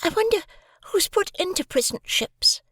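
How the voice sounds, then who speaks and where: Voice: very high voice, very animated, normal speed, sharp tone, dry recording, voice pushed up, heavily acted. A reader putting on the voice of a timid, curious small boy who asks anxious, pleading questions in an audiobook. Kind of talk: audiobook character voice.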